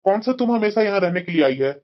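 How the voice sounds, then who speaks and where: A woman's voice: medium pitch, lively intonation, fast, close to an everyday voice. A woman voicing a placating, reasoning husband and brother in a family cartoon drama. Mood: dismissive, soothing. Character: placating adult man, husband and protective brother. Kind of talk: Hindi family drama storytelling. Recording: dull, lo-fi sound.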